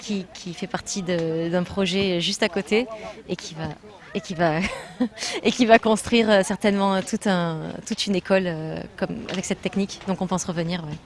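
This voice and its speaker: A woman from France, her voice pitched a little low, answers interview questions about building with earth. She explains things earnestly, often pausing and filling gaps with hesitations. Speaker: building trainer.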